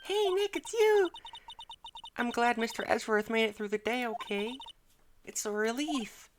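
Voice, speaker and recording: Woman doing a high, perky young girl. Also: lively intonation, moderate pace, a little hiss or hum, natural voice. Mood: excited, relieved. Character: young perky excitable girl, earnest and apologetic.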